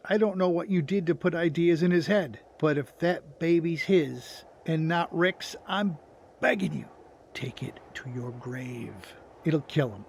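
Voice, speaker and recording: A man's voice: a little high, normal speed, hardly any pauses, darkish tone, slight background noise, close to an everyday voice. Actor as an earnest, blunt old man. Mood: pleading. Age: older. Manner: plain-spoken, folksy, insistent. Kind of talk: motion comic voice acting.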